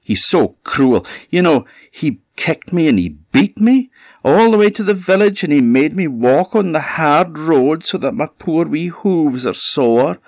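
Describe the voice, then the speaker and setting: Man putting on a whining, high-pitched Scottish nanny goat. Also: animated, measured pace, dry recording, muffled recording. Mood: aggrieved, moaning. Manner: moaning, theatrically aggrieved. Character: whining, lying, self-pitying female pet goat.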